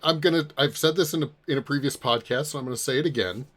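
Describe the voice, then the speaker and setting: A man chatting casually on a podcast panel, halting and repeating words as he goes. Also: mid-range voice, fairly bright tone.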